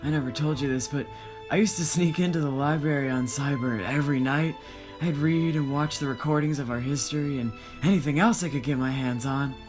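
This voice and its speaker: Man voicing a bashful, higher-pitched young cyborg guy. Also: brisk, over music, close to an everyday voice. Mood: guarded, confessing. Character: bashful, bookish male cyborg, wounded but gentle. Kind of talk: visual novel game voiceover.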